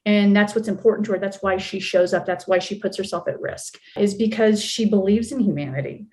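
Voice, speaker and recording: A woman's voice: medium pitch, a little fast, darkish tone. A woman interview guest talking casually and reflectively, with thoughtful emphasis on key words.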